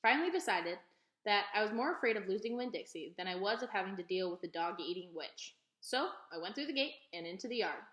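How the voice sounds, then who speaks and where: A woman reading a children's novel aloud in an expressive storytelling style. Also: mid-range voice, fairly quick, muffled recording. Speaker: read-aloud reader. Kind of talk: expressive children's book narration. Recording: echoey, roomy sound.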